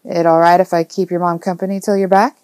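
A woman's voice: lowish voice, little pitch movement, fast talker, a little mellow, dry recording, lightly acted. Woman voicing an easygoing, casual man, dry sound. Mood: casual, amiable. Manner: casual, familiar. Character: easygoing, friendly man with a grumbling streak. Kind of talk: audiobook character dialogue.

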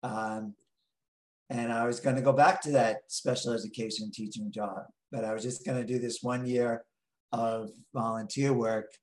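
A man in his late sixties with a New York accent, telling his story slowly as an interview guest, pausing on many ums. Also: fairly low pitch, a little mellow, roomy sound, poor audio. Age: 60s. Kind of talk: interview answers.

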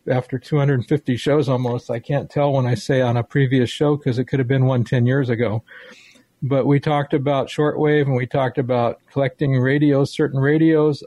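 A man's voice: mid-range voice, warm tone, no echo. A man with an American accent hosting a podcast in a casual, rambling, folksy way. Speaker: podcast host.

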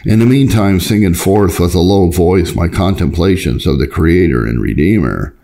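A man narrating an old devotional text as a scripted reading, in a measured, earnest and formal manner. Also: low voice, gravelly, mellow. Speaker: audiobook narrator.

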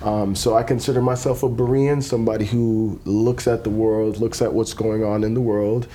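A man from Jamaica with a Jamaican accent softened toward American, a podcast guest talking casually with lots of ums and easy laughter. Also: fairly low pitch, level tone, moderate pace, a little hiss or hum. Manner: hesitant, many ums, laughs easily. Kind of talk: casual conversation.

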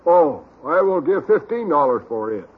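An actor playing a slow-witted, obliging country fellow with a Southern US accent in an old-time radio comedy. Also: male voice, fairly high pitch, very animated, breathy edge. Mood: halting, literal. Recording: thin, phone-like old radio recording.

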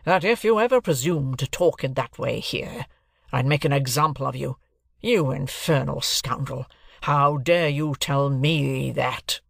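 A man's voice: on the high side, animated, measured pace, gravelly, a bit bright, no room sound, clean studio sound, pitched above the performer's own voice, exaggerated voice. Man acting out a stern, bullying lawyer. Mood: irate, stern. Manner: blustering, imperious. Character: stern, bullying middle-aged male lawyer, curt and domineering.

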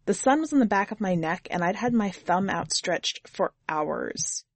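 A woman's voice: mid-range voice, lively intonation, normal speed, dry recording. A woman narrating in the first person as a jaded, blunt twenty-year-old drifter, wry and foul-mouthed, in an audiobook story.

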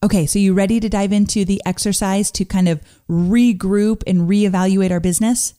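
A woman hosting a casual solo podcast, chatty and upbeat, her voice a little gruff this morning. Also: mid-range voice, steady tone, moderate pace, mellow. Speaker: podcast host.

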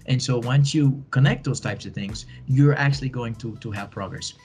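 A man's voice: medium pitch, moderate pace, darkish tone, faint music behind. Podcast guest, male, 40s, earnest and encouraging, repeats key phrases. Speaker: podcast guest, bilingual educator. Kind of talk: conversational interview answers.

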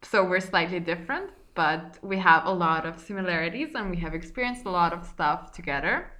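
Co-host: young Polish woman, bright tone, laughs often, swears, mocks herself. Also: on the low side, a little fast. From Poland. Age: 20s. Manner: giggly, swearing, self-mocking. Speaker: podcast co-host. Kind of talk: casual chat.

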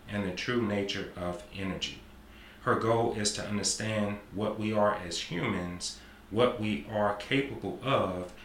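A man's voice: fairly low pitch, bright, forceful tone. A man in his forties hosting a podcast interview, talking casually and repeating affirmations. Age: 40s. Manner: repetitive, affirming, talkative. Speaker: podcast host. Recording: roomy sound with faint noise.

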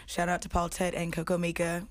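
A woman's voice: on the low side, monotone, brisk, no room sound. An American woman in casual podcast conversation, speaking slangily and with self-assurance as a guest. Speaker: guest artist.

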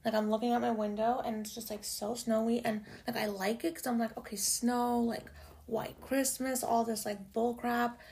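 A young woman from Canada hosts her own podcast, chatting loosely and swearing as she goes. Her voice is low and raspy, with heavy vocal fry and a slightly raised pitch for a woman. She fills her talk with "like" and "y'all". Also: little pitch movement, normal speed, darkish tone. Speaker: podcast host. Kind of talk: casual solo podcast chat. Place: home room in Toronto.

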